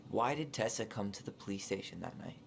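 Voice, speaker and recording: A man's voice: on the low side, lively intonation, fairly quick, vocal fry, slight background noise. An actor playing an earnest, probing young man, direct and reserved in naturalistic video game dialogue.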